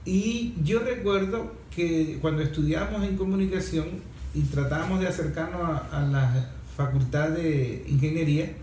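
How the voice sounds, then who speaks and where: A man with a Venezuelan accent and a somewhat high voice hosts a radio talk show. He explains things reflectively, like a teacher thinking aloud and asking rhetorical questions. The room is very echoey, with faint noise behind him. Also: moderate pace, dark tone. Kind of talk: conversational radio talk about environmental issues.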